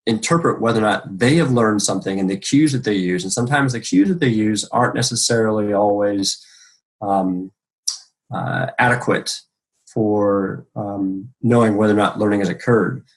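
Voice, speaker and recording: A middle-aged American man answering interview questions in an online talk, speaking with many pauses and restarts. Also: lowish voice, low-quality recording. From United States. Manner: halting, many ums and restarts. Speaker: education professor.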